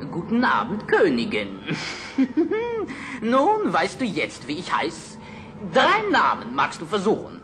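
A spiteful little goblin man, high and wildly swooping. He draws his words out slowly and gloats with a cackle. It is an old fairy-tale radio play with clear background noise. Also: wildly swooping pitch, full character voice. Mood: mocking. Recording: noisy old vinyl audio play.

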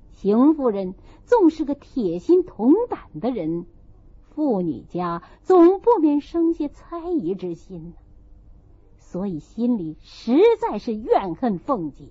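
Woman audiobook narrator, highish lively voice, bit dull lo-fi sound. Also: slightly rough. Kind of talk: expressive storytelling narration of a classic novel.

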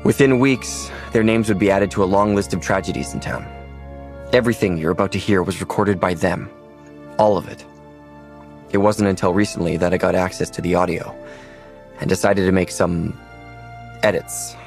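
Grave audio-drama narrator: low, gravelly, measured, documentary delivery. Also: male voice, soft background music. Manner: solemn, measured. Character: grave male narrator recounting a tragic investigation. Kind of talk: scripted documentary-style narration.

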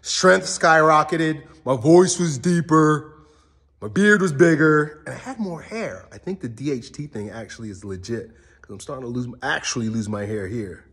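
A man's voice: mid-range voice, animated, moderate pace, fairly bright tone, breathy edge. A man in his forties talking casually and candidly to his viewers, blunt and loose, with casual swearing. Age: 40s. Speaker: strength coach and channel host. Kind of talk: casual talk to camera.

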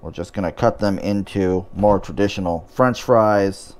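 Man, cooking show host, slightly low voice, casual, wry asides. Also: measured pace.

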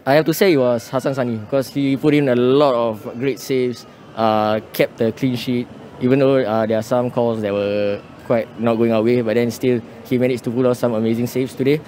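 A man's voice: medium pitch, fairly even intonation, moderate pace, mellow, a little hiss or hum. A young man with a Singaporean accent, a football fan answering casually and hesitantly into a handheld phone outdoors. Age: young adult. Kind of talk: casual post-match interview. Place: outdoor stadium entrance. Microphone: handheld phone held toward him.

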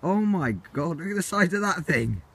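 A teenage English boy vlogging outdoors on a phone held at arm's length, chatty and excitable with his friends. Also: sits high, moderate pace, creaky, slightly rough. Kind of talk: casual vlog to camera. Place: outdoors in fields on a windy grey day. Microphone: phone camera held at arm's length.

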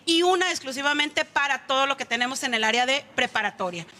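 A middle-aged woman speaks as an interview guest. Her voice is high and bright, and it rings with long studio reverb. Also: expressive, fast talker. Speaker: university promoter, interview guest. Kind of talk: live TV interview. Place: indoor television studio. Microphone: handheld microphone.

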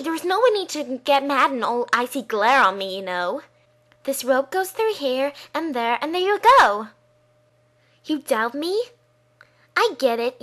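A spirited young lady thief from a video game, acted by a woman. She is perky, teasing and theatrical, with a high, bright voice. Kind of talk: video game fandub audition.

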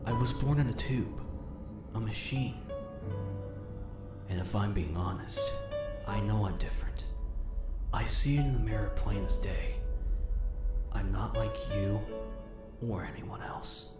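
A man voicing a brooding, guarded artificial hedgehog creature in an animated comic dub, grave, halting and understated. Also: on the low side, natural voice. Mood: troubled. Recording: echoey voice under loud music.

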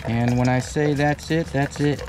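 A man in his thirties giving a relaxed, chatty step-by-step demonstration outdoors at a campsite under a canopy tent. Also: mid-range voice, unhurried, background noise. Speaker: vlogger. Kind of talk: casual how-to demo. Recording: background music under voice. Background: music.

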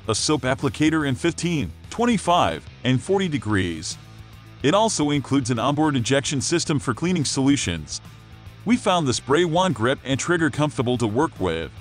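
A man reading a scripted product review voice-over in an upbeat sales-pitch manner. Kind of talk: scripted promotional voice-over narration.

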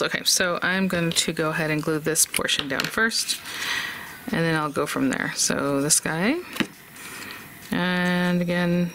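A woman's voice: lowish voice, sharp tone, slight background noise, low-quality recording. A woman hosting a casual craft tutorial, chatty and upbeat, thinking aloud as she works at an indoor craft desk. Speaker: craft channel host. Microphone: overhead camera on desk, voice off-camera.

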